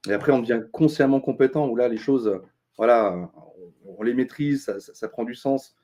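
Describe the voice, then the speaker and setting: A middle-aged man, a consultant being interviewed, talking earnestly with many pauses and restarts. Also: medium pitch, mellow. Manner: thoughtful, many fillers and restarts, earnest advice-giving. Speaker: interview guest, business consultant. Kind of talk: casual interview talk.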